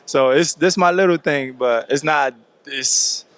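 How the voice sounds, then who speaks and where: A man from Ivory Coast, a basketball team captain, answering interview questions haltingly with lots of "I mean" fillers. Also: a little high, a bit bright, smooth, no echo.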